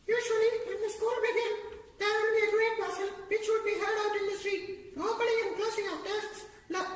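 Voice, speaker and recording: A young woman playing an earnest, anxious schoolboy in a school puppet show, reciting the lines in a declamatory way. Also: very high voice. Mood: puzzled. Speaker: student performer in a puppet show. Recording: very echoey hall, faint noise.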